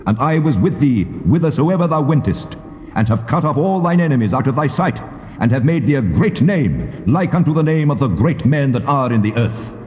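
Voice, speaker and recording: An actor playing God as a solemn, commanding divine voice, declamatory and stately, in a scripture drama. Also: male voice, medium pitch, expressive, moderate pace, natural voice. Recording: cavernous reverb, muffled tape.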